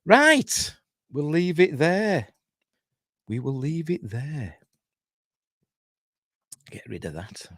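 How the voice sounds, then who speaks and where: A man's voice: a little high, very animated, very slow, pauses often, fairly bright tone, no room sound, clean studio sound. A middle-aged man with a Yorkshire accent, hosting a casual livestream in a rambling, chatty way with self-deprecating asides. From Yorkshire, England. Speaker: channel host reviewing TV.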